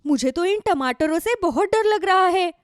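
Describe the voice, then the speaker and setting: High, animated village wife, acted by a woman. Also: normal speed, no room sound, clean studio sound. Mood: frightened. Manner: dramatic, wide-eyed exclaiming. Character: easily frightened, hopeful village wife. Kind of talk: children's moral story cartoon acting.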